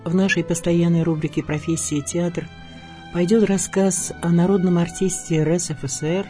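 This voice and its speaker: Mellow higher-voiced man narrating. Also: level tone, moderate pace, soft background music. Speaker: radio programme narrator. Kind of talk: scripted biographical narration.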